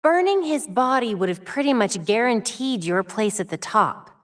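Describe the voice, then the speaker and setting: A woman playing a sharp, determined young American vigilante heroine in a video game, with a wry, quippy delivery. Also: on the high side, lively intonation, moderate pace, no room sound, studio quality, lightly acted. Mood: serious, firm. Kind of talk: acted video game voice lines.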